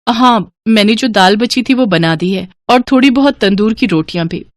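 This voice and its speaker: Lady as poor dhaba owner's anxious kind wife, cartoon moral story, lively pitch, dry sound. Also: moderate pace, no room sound, natural voice. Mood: worried. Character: worried, kindly wife of poor eatery owner. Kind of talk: dramatised moral story acting.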